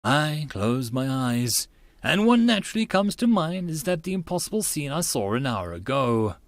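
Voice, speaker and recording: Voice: medium pitch, sing-song, normal speed, no room sound. An audiobook narrator giving a theatrical, emphatic first-person reading of an anime-style story. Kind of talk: dramatic first-person reading of a visual novel.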